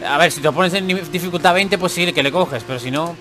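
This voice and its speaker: A man's voice: a little high, quick pace, music in the background. A man giving casual, chatty gameplay commentary who swears and grumbles when things go wrong. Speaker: gaming YouTuber.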